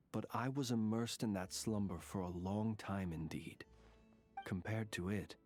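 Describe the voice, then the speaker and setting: A courteous, wistful ancient dragon prince. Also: male voice, fairly low pitch, darkish tone, breathy edge. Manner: formal, measured, old-fashioned courteous phrasing. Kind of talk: scripted game character dialogue.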